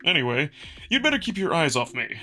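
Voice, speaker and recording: A man performs a big furry wolf prince from a visual novel, young and competitive. His voice is bright and very animated, teasing and self-assured over music. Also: on the high side, a little fast, pitched above the performer's own voice. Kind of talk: visual novel character acting.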